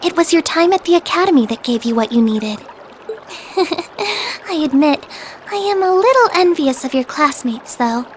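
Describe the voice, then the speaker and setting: An actress voicing a caring, polite teenage younger sister in a video game, with an earnest, proper way of speaking. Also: female voice, high-pitched, animated, a little slow, slight background noise. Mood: soft, modest. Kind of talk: video game cutscene voice acting. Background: trickling water ambience.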